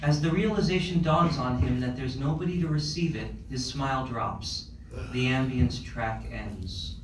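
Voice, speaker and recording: A man's voice: medium pitch, unhurried. A man reading stage directions as scripted narration at a live screenplay table read. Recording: echoey room, distant mic, clear background noise.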